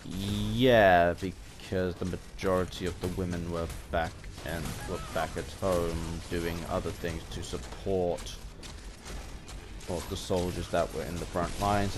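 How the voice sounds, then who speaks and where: A man giving an unscripted opinion ramble as a gaming vlogger, halting and often restarting his phrases. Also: low voice, over music.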